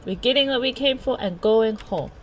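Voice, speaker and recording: A woman's voice: a little high, moderate pace, a little hiss or hum, pitched above the performer's own voice, close to an everyday voice. A woman voicing a blunt, bombastic eccentric man with a put-on accent, in a visual novel read-aloud. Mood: grudging.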